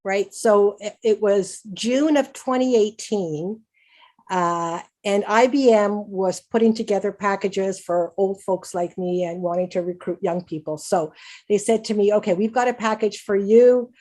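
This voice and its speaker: A woman's voice: mid-range voice, measured pace, mellow. Canadian woman of sixty-three, candid speaker. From Canada, raised in Hamilton, Ontario. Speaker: patient speaker at a conference. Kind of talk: personal presentation, conversational.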